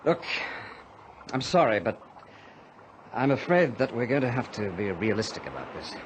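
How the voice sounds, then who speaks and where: Guy doing a calm reassuring stranded pilot, old time radio adventure, very lively intonation, bit of background noise. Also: medium pitch, close to an everyday voice. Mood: grave, steady. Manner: measured, reasoning, reassuring. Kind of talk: 1940s-50s radio adventure acting. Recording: noisy vintage radio drama recording.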